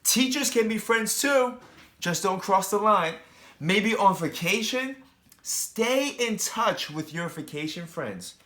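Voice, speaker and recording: Slow-paced, high-voiced man reading to children. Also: animated, sharp tone. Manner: addresses young children directly, asking questions. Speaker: teacher reading a picture book aloud. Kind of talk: children's read-aloud with chatty asides.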